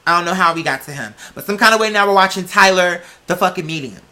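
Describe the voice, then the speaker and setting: Sassy, bright-voiced comic storyteller. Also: mid-range voice, quick pace. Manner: sassy, profane, animated, emphatic. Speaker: host telling comic anecdotes. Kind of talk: casual comic rant, storytelling.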